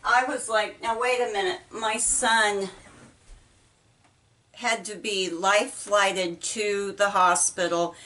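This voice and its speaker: A middle-aged woman with a Midwestern American accent, chatting casually while she folds laundry, talking haltingly with many ums and restarts. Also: medium pitch, fairly even intonation, sharp tone. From United States. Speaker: vlogger. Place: small indoor laundry room. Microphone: camera set apart from her. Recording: voice a bit far from mic.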